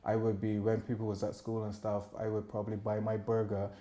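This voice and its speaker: A man's voice: lowish voice, completely flat delivery, brisk, warm tone. A man with a Bajan accent giving a casual interview as a musician, informal and often rephrasing himself. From Barbados. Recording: roomy, echoing interview room.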